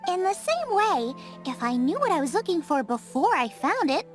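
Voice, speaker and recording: A carefree young girl cook, high and bouncy, self-assured. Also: sing-song, normal speed, faint music behind. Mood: breezy. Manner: breezy, confident, playful. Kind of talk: video game dub acting. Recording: game soundtrack under the voice.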